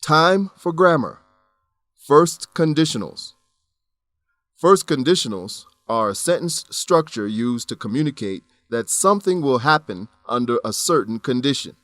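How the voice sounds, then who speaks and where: A man reading scripted educational narration for an English lesson, enunciating clearly in an instructional manner. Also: medium pitch, lively intonation, measured pace, a bit bright, no room sound. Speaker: narrator of an English-teaching radio program.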